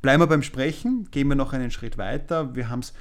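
A man's voice: mid-range voice, quick pace. A man hosting a podcast, asking long rambling questions and summarizing the answers. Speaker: podcast host, managing director. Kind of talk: podcast interview.

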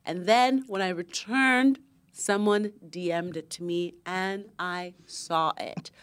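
A woman's voice: fairly low pitch, slow, clear, resonant tone. A woman with a young-sounding voice co-hosting a podcast, telling stories in a playful, mock-indignant way. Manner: animated storyteller, playfully indignant. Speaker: podcast co-host. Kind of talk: casual chat.

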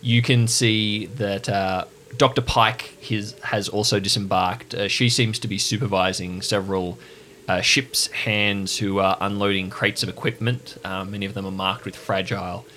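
Lower-pitched man narrating as game master. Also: steady tone, normal speed, pushed and bright, slight background noise. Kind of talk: descriptive tabletop game narration.

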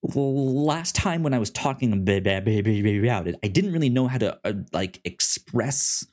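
A man's voice: medium pitch, expressive, darkish tone. A young man co-hosting a casual podcast about comics, with lots of stammered restarts and fillers. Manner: halting, many restarts and fillers. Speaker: podcast co-host. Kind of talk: casual comic-book discussion.

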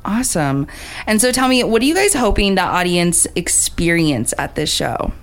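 A woman with a clear, resonant voice works as a radio host. She interviews guests in a friendly studio chat, keeping things informal and encouraging. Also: lowish voice, big pitch swings, normal speed. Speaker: radio talk show host.

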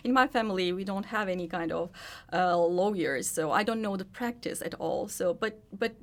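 A woman in her forties from Turkey, a native Turkish speaker with a Turkish accent, speaking as an interview guest who stops and restarts her sentences often. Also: mid-range voice. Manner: halting, many restarts and fillers. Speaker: guest, trademark attorney. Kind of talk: casual interview chat.

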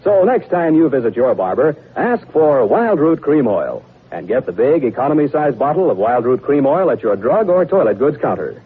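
A man's voice: mid-range voice, sing-song, moderate pace, breathy edge. An American-accented man working as a radio announcer, reading a scripted 1940s sponsor message like a polished salesman. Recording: old muffled, grainy radio broadcast recording.

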